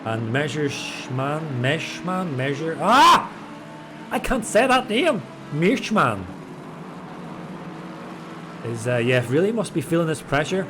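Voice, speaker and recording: A man giving chatty, excitable live commentary on an online race, stumbling over drivers' names. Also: fairly high pitch, noisy background. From Ireland. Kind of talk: live sim racing commentary.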